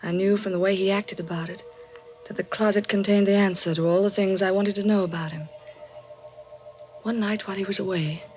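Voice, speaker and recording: A woman's voice: medium pitch, fairly even intonation, brisk, lightly acted. An actress playing an anxious but determined wife who suspects her husband, earnest and dramatic in a 1940s radio mystery. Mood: haunted. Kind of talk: 1940s radio mystery acting, first-person dramatic narration. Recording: muffled old radio recording with music.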